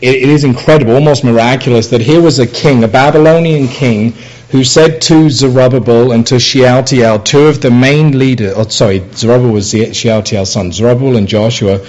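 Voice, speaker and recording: A middle-aged Englishman delivering a sermon in a relaxed, chatty way. His voice sits at an ordinary middle pitch, and he keeps stopping to restart with small fillers. The tone is plain and unhurried, like a preacher talking with his congregation. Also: poor audio. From England. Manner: conversational with frequent fillers and restarts. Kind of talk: sermon with personal anecdotes.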